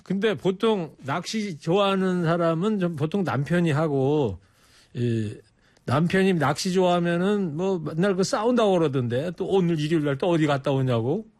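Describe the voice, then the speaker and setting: An older man in his sixties hosts a radio call-in show with a fairly high voice. His pitch moves around a lot as he fires off curious, friendly questions. He sounds close and dry in a studio with no echo. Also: animated, moderate pace, darkish tone. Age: 60s. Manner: chatty, curious, asks many friendly questions. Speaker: radio host. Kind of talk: casual radio call-in chat.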